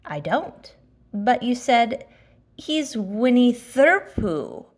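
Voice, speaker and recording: A woman's voice: a little high, big pitch swings, extremely slow, heavily acted. A woman voicing a curious, polite little boy in a children's storybook, asking questions eagerly. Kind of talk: children's storybook character reading.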